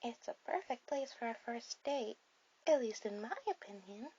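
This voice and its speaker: A woman voicing a shy, sweet, bookish girl on a first date in an ASMR roleplay, speaking softly and hesitantly to the listener. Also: a little high, husky, lo-fi sound. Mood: gentle, nervous. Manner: soft, hesitant, halting delivery.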